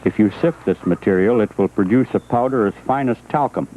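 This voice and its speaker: A man's voice: lowish voice, brisk, poor audio. A man reading scripted narration for an educational film, on an old 1980s film soundtrack.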